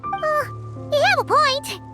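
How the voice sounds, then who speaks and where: A tiny floating fairy with an extremely high, squeaky, childlike voice. She is a chirpy, blunt, inquisitive sidekick from a fantasy game, with music playing behind her. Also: big pitch swings, takes it slowly, exaggerated voice. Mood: suspicious. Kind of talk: video game voice acting.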